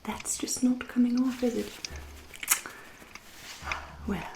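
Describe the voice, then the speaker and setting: A woman voicing a centuries-old aristocratic English vampire in a soft, breathy, often whispery ASMR roleplay, genteel and deliberate, with dry, polite menace. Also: a little high, fairly even intonation, reverberant, noisy background. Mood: wry, apologetic. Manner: genteel, deliberate, drawn-out phrasing with dry irony.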